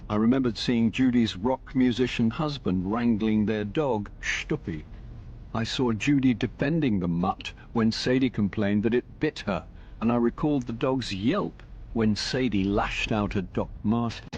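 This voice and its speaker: An actor playing a wry, nosy middle-aged British amateur detective in a radio drama, speaking and narrating in a dry manner. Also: male voice, mid-range voice, measured pace, darkish tone, muffled recording, a little hiss or hum, close to an everyday voice. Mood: wry, reflective. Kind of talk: radio drama acting with first-person narration.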